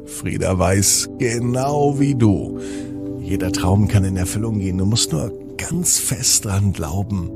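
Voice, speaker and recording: A man with a low, slightly husky voice tells a children's bedtime story. His delivery is unhurried and playful, swinging widely in pitch and lingering on words. It sounds close and expressive, made for little listeners. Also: over loud music. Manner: deliberate, playful, with drawn-out words and dramatic pauses.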